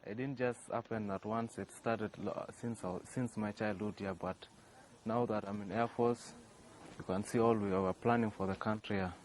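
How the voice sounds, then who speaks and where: A man's voice: on the low side, steady tone, moderate pace, a little mellow, poor audio. A young man, answering interview questions hesitantly, with many restarts and a filler "yeah". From South Sudan. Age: young adult. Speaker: interview guest, young inventor. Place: outdoors by a workshop. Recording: faint outdoor noise.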